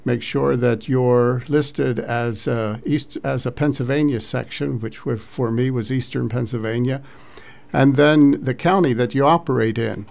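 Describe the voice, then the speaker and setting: A man giving an informal vlog narration as a radio hobbyist, speaking in halting, hesitant phrases. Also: mid-range voice, gravelly, a little mellow. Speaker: amateur radio operator recounting a contest weekend.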